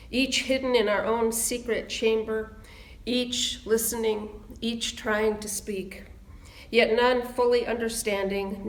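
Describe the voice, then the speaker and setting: A woman minister welcoming people to an online service and reading opening words slowly and reverently. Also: a little high, echoey room, low-quality recording.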